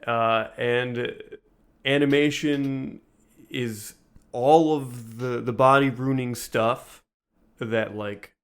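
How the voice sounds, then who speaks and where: A man's voice: mid-range voice, fairly even intonation, very slow, clear, resonant tone. A man co-hosting a casual podcast, talking with dry, sardonic exaggeration. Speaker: podcast co-host. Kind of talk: casual behind-the-scenes podcast chat.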